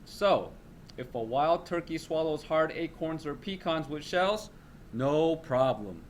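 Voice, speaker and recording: A man's voice: fairly high pitch, animated, slow, slight background noise. A man reading a children's nonfiction text aloud as a teacher.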